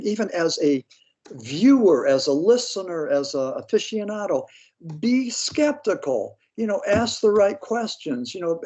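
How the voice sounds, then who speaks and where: A man's voice: sits high, a little mellow, slightly rough. Blunt American man, dull lo-fi sound. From Pennsylvania, United States. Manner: blunt, skeptical, slangy. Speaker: interview guest, paranormal author. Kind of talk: casual opinionated interview talk.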